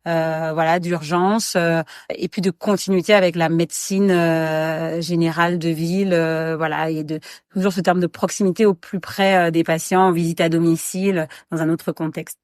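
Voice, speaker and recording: A woman's voice: fairly low pitch, flat delivery, normal speed, darkish tone, no echo, clean studio sound. A woman doctor talking about her work in a podcast interview, hesitant, with many fillers and restarts. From France, raised in Guadeloupe. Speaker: medical regulator doctor, guest.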